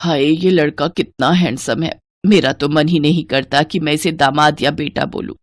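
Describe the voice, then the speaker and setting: Lady doing a shameless middle-aged stepmom for a moral story, low coy voice, scheming, close dry sound. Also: steady tone, moderate pace, no echo. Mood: smitten. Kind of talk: Hindi moral-story cartoon voice acting.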